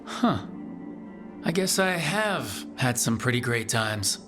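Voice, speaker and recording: A caring young rebel leader in a fantasy video game. His voice is mid-range, casual and sincere, like an everyday person. Music plays clearly underneath. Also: medium pitch, very animated, slow pace. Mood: casual, friendly.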